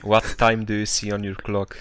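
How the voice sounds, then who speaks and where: A man's voice: fairly low pitch, completely flat delivery, normal speed. A young man with a Polish accent and a resonant voice, chatting casually as a podcast guest and giving short, dry answers. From Poland. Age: young adult. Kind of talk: casual chat.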